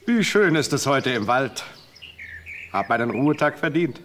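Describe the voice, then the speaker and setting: An actor playing a kindly, easygoing forester in a fairy-tale radio play, musing aloud gently and with wonder. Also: male voice, mid-range voice, sing-song, moderate pace, slight background noise. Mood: contented. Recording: birdsong and forest sounds behind. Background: birdsong.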